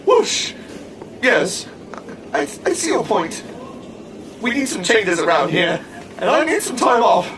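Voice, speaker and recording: A woman's voice: on the high side, very animated, slow, pauses often, exaggerated voice. A woman playing a neurotic, aggrieved talking supermarket door in a comedic audio drama, melodramatic and needy, whooshing before speaking. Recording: clearly noisy background.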